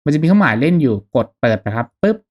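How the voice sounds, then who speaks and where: A man's voice: on the high side, sing-song, very fast, dull audio. Casual man giving a tutorial. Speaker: tutorial host. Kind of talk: casual step-by-step software tutorial voice-over.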